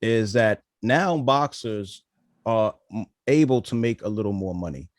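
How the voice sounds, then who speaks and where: Relaxed man in a dry close recording. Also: on the low side, unhurried, dry recording. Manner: relaxed, agreeable. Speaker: podcast co-host. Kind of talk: casual interview.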